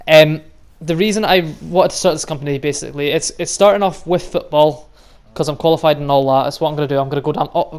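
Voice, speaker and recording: A young Scottish man from the Highlands in his twenties, talking casually to his viewers. He rambles, swears freely and laughs often. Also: a little mellow, smooth. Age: 20s. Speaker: vlogger and youth football coach. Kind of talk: casual monologue to camera.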